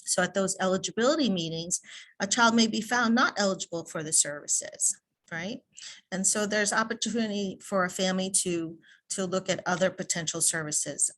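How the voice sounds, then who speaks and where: A woman's voice: medium pitch, moderate pace, a bit bright, poor audio. A woman presenting in a webinar, explaining options to families with occasional fillers. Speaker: early childhood transition presenter. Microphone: video call.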